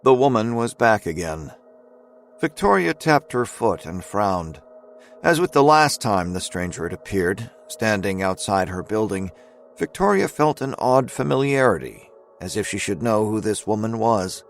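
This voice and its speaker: A man's voice: on the low side, animated, measured pace, vocal fry, soft background music. A man narrating a horror audio drama with dramatic, scripted storytelling delivery.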